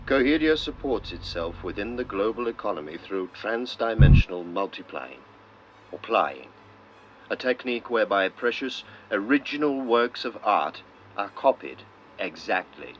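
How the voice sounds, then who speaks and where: A man with a deep voice reads narration like an old documentary. His phrasing is solemn and deliberate. The sound is muffled and lo-fi, with clear noise around the voice. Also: big pitch swings. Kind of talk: scripted narration in old-style documentary manner.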